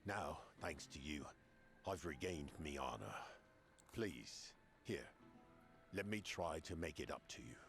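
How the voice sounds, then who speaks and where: Very deep, gravelly, slow blacksmith. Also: male voice, animated, fairly bright tone, soft background music, full character voice. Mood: earnest, moved. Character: male blacksmith, remorseful, earnest and grateful. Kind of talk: scripted video game dialogue.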